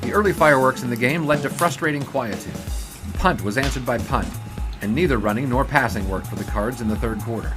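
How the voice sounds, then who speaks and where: A man's voice: medium pitch, a little fast, natural voice. Man playing an earnest 1920s sportswriter, music behind. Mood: measured, storytelling. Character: earnest young male 1920s newspaper sportswriter. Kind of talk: period radio drama dialogue and florid narration.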